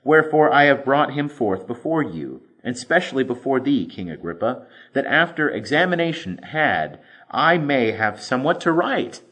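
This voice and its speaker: A man narrates scripture as a Bible reader, with a very lively, swinging intonation. His delivery is measured and carefully enunciated, and he leans into phrases with dramatic emphasis. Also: medium pitch.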